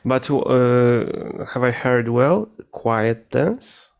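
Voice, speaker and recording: Slow, gravelly man, non-native English speaker. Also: medium pitch, lively intonation. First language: not English. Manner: hesitant, searching for words. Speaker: interviewer. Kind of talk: casual conversation.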